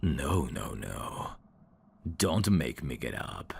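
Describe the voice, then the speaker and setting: A man voicing a sly demon servant in an ASMR audio drama, courtly and theatrical with irreverent, sardonic asides and a very deep, gravelly voice. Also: sing-song, slow pace, background noise, exaggerated voice. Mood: reverent, courtly.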